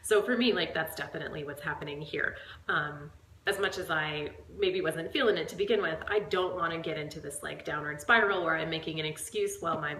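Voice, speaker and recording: A woman's voice: low-pitched, bright, forceful tone, echoey room, slight background noise, poor audio. A woman fitness coach with a head cold and a stuffed-up voice, talking casually to her viewers with lots of fillers. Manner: chatty, rambling, many fillers. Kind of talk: casual talk to camera. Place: at home.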